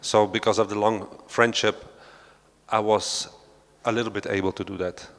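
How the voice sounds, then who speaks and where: A man's voice: lowish voice, steady tone, normal speed, a bit bright. Hesitant Belgian man in a reverberant hall. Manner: hesitant, many fillers and restarts, non-native phrasing. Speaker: panel speaker, researcher. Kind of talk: unscripted talk at a panel discussion. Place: large hall of an art centre.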